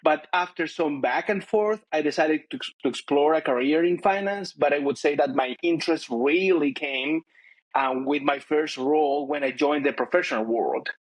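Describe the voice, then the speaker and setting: Man with a Chilean accent, fairly high voice. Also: moderate pace, no room sound. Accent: Chilean Spanish. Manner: thoughtful, many fillers and restarts. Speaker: guest, finance director. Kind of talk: casual interview chat.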